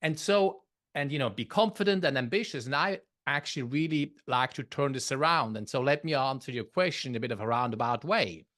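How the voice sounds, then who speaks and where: Lively Swiss-accented man. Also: mid-range voice, fairly quick, few pauses. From Switzerland. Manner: thoughtful, restarts phrases, animated. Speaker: podcast guest, leadership author. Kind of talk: conversational interview.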